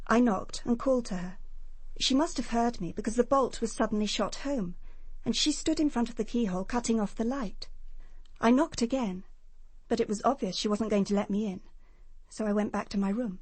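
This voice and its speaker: Anxious, high, husky young woman. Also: normal speed, darkish tone, no room sound. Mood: anxious, confessional. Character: wounded, anxious young woman giving a police statement. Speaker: actress in a radio detective drama. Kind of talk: radio drama dialogue.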